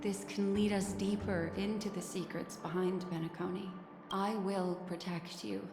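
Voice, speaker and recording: A woman voicing a composed, earnest and protective confidante in a video game, speaking in a measured, formal way. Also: mid-range voice, fairly even intonation, close to an everyday voice. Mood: reassuring, resolute. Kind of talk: dramatic video game dialogue. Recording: roomy reverb under loud game music.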